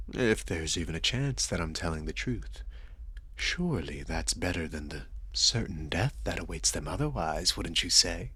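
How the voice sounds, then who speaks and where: Casting: male actor as possessive, seductive vampire lord for ASMR drama; very low, husky voice, theatrical menace. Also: fairly bright tone, no echo, full character voice. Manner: slow, theatrical, mocking endearments, frequent low chuckles. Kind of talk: scripted ASMR roleplay acting.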